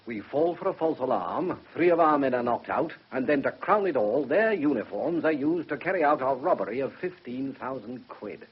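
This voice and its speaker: A gruff British police superintendent in a radio drama, demanding and sardonic. His husky voice carries exasperated authority, on a slightly muffled recording. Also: medium pitch, lively intonation, moderate pace, few pauses. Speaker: actor in a radio play.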